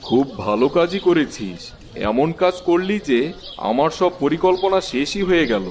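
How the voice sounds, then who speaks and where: A man's voice: on the high side, animated, moderate pace, a little mellow, slight background noise, lightly acted. Man acting haughty, scheming village landlord. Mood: irritated. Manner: haughty, brusque. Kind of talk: Bengali cartoon villain acting.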